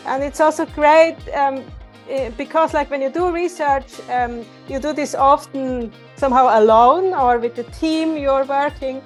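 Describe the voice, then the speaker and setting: A woman from Switzerland, a researcher speaking thoughtfully and lively in an interview. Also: high-pitched, a little slow. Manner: thoughtful, lively, with many fillers. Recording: music playing underneath.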